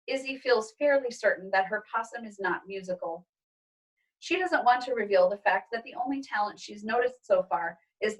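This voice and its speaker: A woman teacher reading a children's story aloud in a gentle, expressive way. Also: mid-range voice, breathy edge, far from the mic, poor audio.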